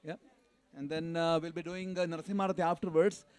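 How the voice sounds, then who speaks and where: A man's voice: fairly high pitch, flat delivery, fast talker, darkish tone, smooth, dry recording. A man making informal announcements to a gathering of devotees as the event's announcer.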